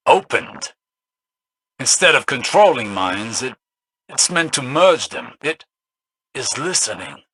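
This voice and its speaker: An actor playing a calm, grave, scholarly mission advisor in a video game, speaking in measured, formal and cryptic phrases. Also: male voice, medium pitch, breathy edge, exaggerated voice. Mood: grave, intent. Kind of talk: video game dramatic voice acting.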